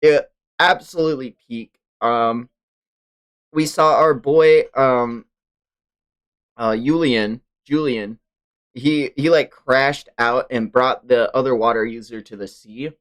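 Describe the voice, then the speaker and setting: Male livestream host, informal fan talk, medium pitch, hyperbolic slang and frequent swearing. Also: slow pace, a bit bright, clear, resonant tone. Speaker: stream host. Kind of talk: casual livestream chat about manga.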